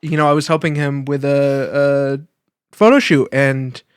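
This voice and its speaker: Young man, podcast co-host. Middle-range voice, animated casual banter, very dry sound. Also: mid-range voice, unhurried, clear, resonant tone, no room sound. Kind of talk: casual chat.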